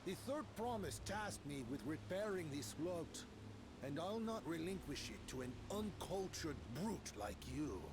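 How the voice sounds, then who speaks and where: A man's voice: a little high, sing-song, measured pace, background noise. An actor playing a proud, indignant craftsman in a fantasy video game, with formal, scornful diction.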